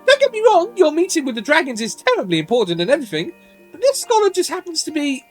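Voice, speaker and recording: A man voicing an excitable, chatty young woman from a fantasy game in a perky, gushing manner. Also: extremely high pitch, fast, faint music behind, pitched above the performer's own voice, full character voice. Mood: perky, eager.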